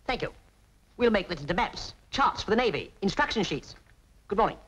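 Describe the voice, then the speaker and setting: A bossy, patriotic British busybody played by an actress in a 1940s film. She hectors briskly and emphatically, her pitch swinging about freely. The old soundtrack is slightly noisy. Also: lively intonation, a bit bright, lightly acted. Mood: bossy, scolding. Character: brisk bossy patriotic woman salvage campaigner, scolding nicely.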